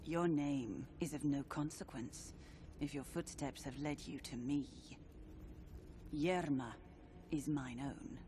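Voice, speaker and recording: An actor playing a solemn, brooding fantasy game character, with grave and cryptic archaic phrasing. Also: male voice, on the high side, takes it slowly, noisy background. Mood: cold resolve. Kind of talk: scripted dramatic game dialogue.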